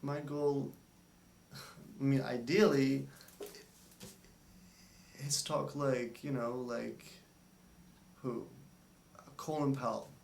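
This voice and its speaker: A young man with a stutter talks slowly and haltingly, breaking off and repeating words. His voice has a gravelly edge. He sounds distant from the mic in a roomy space with a faint hum behind him. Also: mid-range voice, fairly even intonation, darkish tone. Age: young adult. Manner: hesitant, halting, with many fillers. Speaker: speech therapy client. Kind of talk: candid interview talk.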